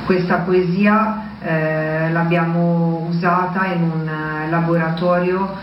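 A man giving a reflective spoken tribute and reading poetry, halting and deliberate, with pauses. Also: a little high, little pitch movement. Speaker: poetry reader introducing poems at a tribute recital. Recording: roomy, distant, dull with faint noise.

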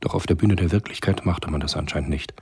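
An actor as a grave, detached storyteller, giving measured literary narration in a radio drama. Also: male voice, darkish tone, heavily acted. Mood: reflective. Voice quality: very deep, very husky. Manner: measured, understated.

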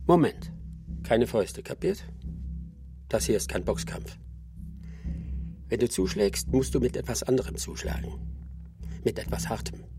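An actor playing a seasoned older ex-fighter in a radio drama, terse and deliberate. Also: male voice, mid-range voice, expressive, creaky. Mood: tough, instructing. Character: seasoned older male ex-brawler, calm, tough, instructing. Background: music.

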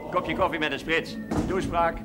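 A man with a Dutch accent playing a gruff, wry office boss in a 1970s TV drama. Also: on the high side, steady tone, moderate pace, natural voice. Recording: loud music over the voice.

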